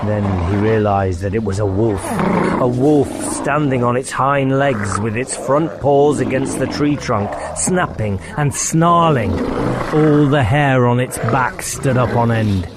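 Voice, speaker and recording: A man's voice: medium pitch, unhurried, background noise. A man reading a children's fantasy audiobook as narrator, in a dramatic storytelling style.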